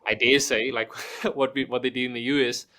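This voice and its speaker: A man's voice: medium pitch, flat delivery, moderate pace, sharp tone. A middle-aged man, a podcast guest, talking casually and explaining with everyday examples, restarting phrases and asking rhetorical questions. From South Africa.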